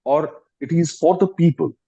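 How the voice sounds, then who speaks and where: A man's voice: on the high side, normal speed, creaky, mellow, husky. A man in his fifties with an Indian English accent, a guest actor explaining his views over a video call, restarting phrases and rising with emphasis. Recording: dull lo-fi online call audio.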